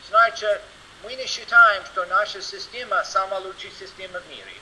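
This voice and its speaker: American male presenter, non-native speaker, halting delivery, highish sharp voice, some background noise. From United States. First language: English. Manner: halting, broken non-native grammar, searching for words. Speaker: guest conference presenter. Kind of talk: informal conference talk in Russian as a second language. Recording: noisy online seminar audio.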